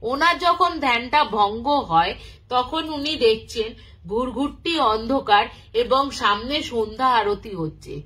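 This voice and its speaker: A woman telling a story informally and unscripted, in a halting, conversational manner, as a storyteller who acts out dialogue. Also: on the high side, animated, sharp tone.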